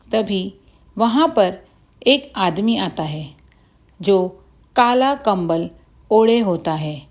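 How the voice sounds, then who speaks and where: A woman's voice: medium pitch, a little mellow. A woman narrating a scripted children's bedtime story as the storyteller.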